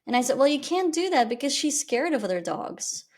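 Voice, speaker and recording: A woman's voice: high voice, brisk. Candid Flemish-accented woman podcaster. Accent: Flemish Belgian. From Belgium. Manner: candid and reflective, with lively rises. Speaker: podcast host. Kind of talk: personal storytelling monologue.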